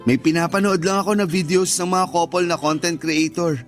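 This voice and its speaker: An actor with a Filipino accent playing an earnest, placating young boyfriend in a radio drama. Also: male voice, on the high side, fairly even intonation, brisk, close to an everyday voice. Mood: casual, eager. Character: defensive, eager-to-please young boyfriend, a content creator. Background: music.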